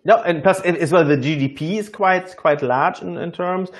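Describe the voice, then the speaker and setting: Slightly high male voice, halting delivery. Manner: halting, repeats words mid-sentence. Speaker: host of business discussion. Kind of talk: informal business discussion.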